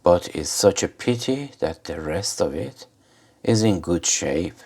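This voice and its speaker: Slow, deep-voiced man explaining hands-on. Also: animated, darkish tone, slightly rough. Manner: halting, short phrases with pauses. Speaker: host of an electronics repair video. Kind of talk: informal hands-on demonstration commentary.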